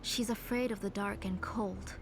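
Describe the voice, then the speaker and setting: A woman voicing a middle-aged, grieving, obsessive mother in a video game, in a soft, hushed and fervent manner. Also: mid-range voice, fairly even intonation, normal speed, background noise, natural voice.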